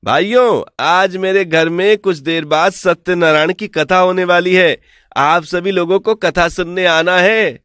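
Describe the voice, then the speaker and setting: A man playing a cunning, theatrical village swindler in an animated story. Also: sits high, unhurried, clear, resonant tone, dry recording, clean studio sound. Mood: polite scheming. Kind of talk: animated Hindi storytelling dialogue.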